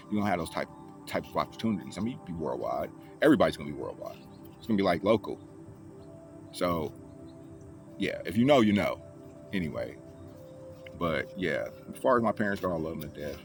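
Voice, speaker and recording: A young man telling his life story in a rambling, profane, casual way, with many restarts. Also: extremely low pitch. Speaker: vlogger. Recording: loud music playing behind the voice.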